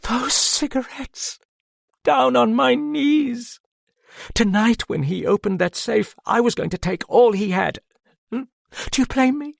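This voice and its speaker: A woman's voice: fairly high pitch, creaky, no echo, studio quality, voice pushed up, full character voice. A man doing a fierce, anguished proud woman. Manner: passionate, anguished, cries out. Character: proud desperate woman, fierce, bitter, near tears.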